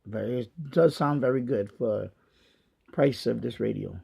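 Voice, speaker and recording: A man giving a casual hands-on product review in a halting way, repeating himself as he goes. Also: medium pitch, gravelly, mellow, husky. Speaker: gadget reviewer and radio hobbyist. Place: basement of a house. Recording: dull lo-fi home video sound.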